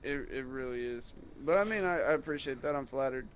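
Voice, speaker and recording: A man talking loosely and casually, circling back on himself with restarts and fillers as he goes. His pitch sits in an ordinary middle range, and a faint hiss lies under the voice. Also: fairly quick, lo-fi sound. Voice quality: higher-pitched voice, in his own view. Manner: rambling, with many restarts and fillers. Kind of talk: casual chat.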